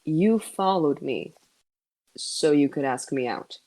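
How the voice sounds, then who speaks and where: A woman voicing a gloomy, sarcastic young man in a grumbling monotone for an audio story. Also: deep voice, slow, no echo, voice pushed down, exaggerated voice, low-quality recording. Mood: deadpan. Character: gloomy emo young man, dry sarcastic piano player.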